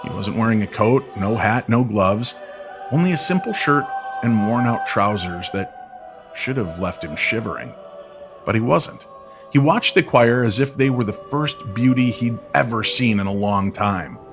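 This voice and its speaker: Low-voiced man narrating over music. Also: animated, fairly bright tone. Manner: measured, dramatic storytelling. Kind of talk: scripted old-time radio style story narration. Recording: music bed under the voice.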